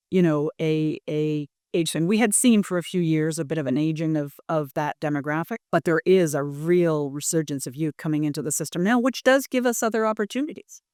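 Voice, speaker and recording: A woman giving measured, articulate interview answers as an industry representative. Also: deep voice, a little mellow, clear, resonant tone, no echo, studio quality. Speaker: air traffic industry representative.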